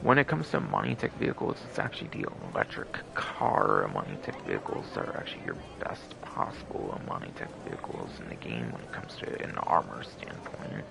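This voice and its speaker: A man's voice: extremely low pitch, wildly swooping pitch, brisk, creaky, hardly any pauses, background noise. A man hosting a game livestream with casual, rambling, repetitive commentary.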